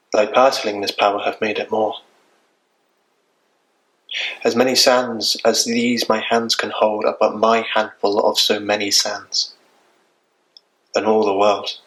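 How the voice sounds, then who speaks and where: A man playing a resolute, philosophical young warrior prince in a Shakespeare monologue, declaiming in measured, reasoned phrases. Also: lowish voice, a bit bright, low-quality recording.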